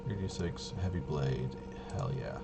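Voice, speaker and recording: A man casually talking himself through a tabletop game walkthrough, halting and unscripted, muttering as he thinks. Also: low voice, lively intonation, creaky, loud music behind the voice. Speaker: host of a tabletop roleplaying video.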